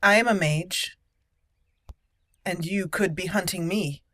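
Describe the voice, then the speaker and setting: A woman's voice: lowish voice, takes it slowly, fairly bright tone, dry recording. A woman hosting a roleplay let's play, chatting casually and playfully as she thinks aloud. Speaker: game roleplay channel host.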